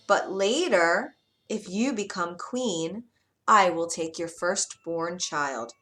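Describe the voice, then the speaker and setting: Woman voicing a sly, bright-voiced little imp. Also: on the low side, slow, faint music behind. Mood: smug, sly. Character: sly, bargaining little imp man, smug and demanding.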